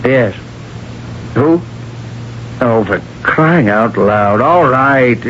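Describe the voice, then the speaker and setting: A flustered, jovial company boss who dodges with fillers and stammers. His voice swings widely in pitch while he talks unhurriedly. The 1970s radio recording sounds dull with faint hiss. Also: animated, drawn-out words, a bit bright. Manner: blustering, stammering, full of fillers. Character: flustered middle-aged male construction boss, evasive and jovial. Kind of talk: 1970s radio drama acting.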